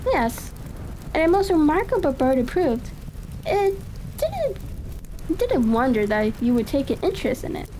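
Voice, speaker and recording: A woman's voice: high voice, very animated, measured pace, pauses often, dark tone, slight background noise, voice pushed up. A woman voicing a courteous, confident male detective in a storybook reading, polite and cheery. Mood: gleeful, teasing. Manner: bland, politely cheery, self-assured. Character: confident, courteous gentleman detective, gleefully all-knowing.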